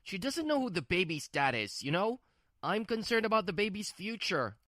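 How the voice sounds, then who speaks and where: A woman's voice: medium pitch, very animated, moderate pace, a bit bright, dry recording, studio quality. A woman voicing a stubborn, brusque husband who dotes on his little sister, in a scripted manga dub. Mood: insistent. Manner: brusque, defensive.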